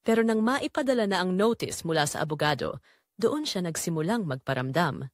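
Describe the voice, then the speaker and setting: A woman with a Filipino accent playing a betrayed, resolute daughter, narrating her story in a solemn, deliberate, emotional way. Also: on the low side, animated, dry recording, clean studio sound, close to an everyday voice. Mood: hurt, somber. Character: Clarice, betrayed daughter and wife, wounded, resolute. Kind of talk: first-person dramatic scripted narration.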